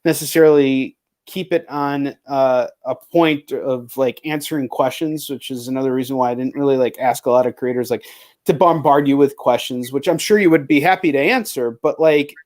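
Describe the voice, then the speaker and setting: A man's voice: medium pitch, moderate pace, darkish tone. A man hosting a casual livestream chat, talking in a rambling, slangy way and swearing freely. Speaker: livestream host and indie comic creator.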